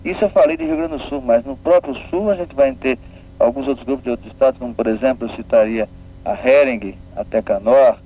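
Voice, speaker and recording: A man's voice: medium pitch, brisk. Didactic northeastern Brazilian man, phone-like sound. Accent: northeastern Brazilian, from Pernambuco. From Pernambuco, northeastern Brazil. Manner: didactic, checks with tag questions. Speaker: guest, regional development agency official. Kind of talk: radio interview, explanatory talk. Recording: narrow phone-like band, faint noise.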